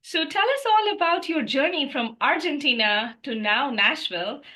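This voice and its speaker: A woman hosts an interview show with a high, bright voice. Her delivery is upbeat and polished, with a scripted feel when she introduces her guest. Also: lively intonation, moderate pace. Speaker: podcast host.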